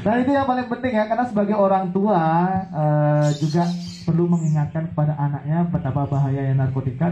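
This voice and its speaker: A male host with a slightly high, mellow voice gives relaxed, chatty closing remarks. The sound is dull and roomy, with faint background noise. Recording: dull, echoey room.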